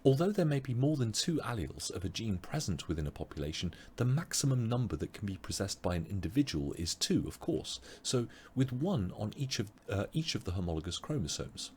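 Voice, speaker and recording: A man teaching an online biology revision webinar, explaining step by step with frequent pauses, his voice a little strained as he loses it. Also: lowish voice, big pitch swings, darkish tone, a little hiss or hum. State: losing his voice. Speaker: biology tutor.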